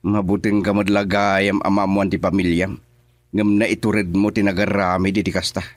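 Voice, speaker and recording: A man's voice: deep voice, flat delivery, moderate pace, no room sound, natural voice. A man with an Ilocano accent playing a chatty, teasing man in a radio drama. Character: casual teasing man named Cardo.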